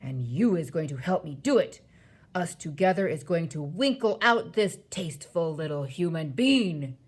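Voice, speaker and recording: A woman voicing a huge, gruesome male man-eating giant who booms thunderous shouts and insults in broken, childish grammar. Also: lively intonation, normal speed, slightly rough. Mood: furious, insulting.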